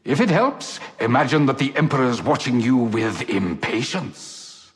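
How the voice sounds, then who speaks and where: An actor playing a vain, teasing sci-fi rogue in a video game, with a theatrical, genteel, condescending delivery. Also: male voice, mid-range voice, big pitch swings, unhurried. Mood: chivvying. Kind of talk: video game character voice lines. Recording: long hall-like reverb.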